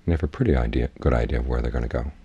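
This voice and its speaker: Very deep, husky, dark-voiced man. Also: highs cut off. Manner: measured, plainspoken instruction. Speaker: Buddhist monk, meditation teacher. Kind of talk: guided meditation talk.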